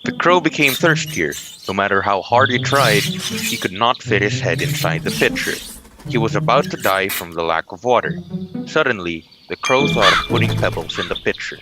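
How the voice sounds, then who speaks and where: Low-voiced male storyteller over music. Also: expressive, normal speed. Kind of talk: scripted storybook narration. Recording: loud music behind the voice.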